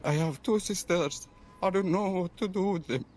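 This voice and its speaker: A man's voice: high-pitched, normal speed, a little mellow, soft background music. A man from Syria giving a pleading, repetitive personal testimony in an amateur video appeal. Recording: noisy amateur video clip.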